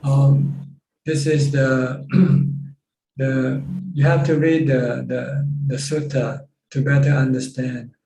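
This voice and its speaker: A man giving informal Buddhist teaching as a monk, explaining step by step with repeated words and hesitant pauses. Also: mid-range voice, steady tone, crowd noise. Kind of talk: informal live dhamma question-and-answer teaching. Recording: roomy echo, faint background noise.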